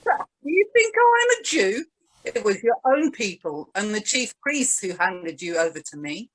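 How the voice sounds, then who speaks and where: A woman's voice: medium pitch, big pitch swings, a little slow, fairly bright tone, low-quality recording. A woman reading the part of an authoritative Roman governor, pointed and questioning, in a dramatized Bible reading. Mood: probing.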